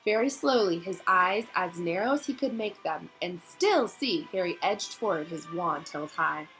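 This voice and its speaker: Bright-voiced woman reading a story aloud. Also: on the low side, expressive, moderate pace, soft background music, low-quality recording. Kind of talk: expressive read-aloud narration.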